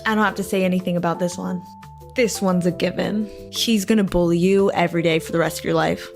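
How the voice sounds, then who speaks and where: A woman's voice: lowish voice, steady tone, fast, gravelly, soft background music. A woman in a short social video, giving teasing, mock-serious warnings.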